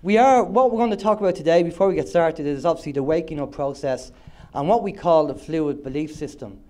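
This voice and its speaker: A man's voice: on the high side, a little fast, mellow. Nasal Irish man with a cold. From Ireland. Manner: chatty, joking, upbeat. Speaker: co-host of an internet radio show, conference speaker. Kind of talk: presenting on stage to a live audience. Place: conference hall on stage.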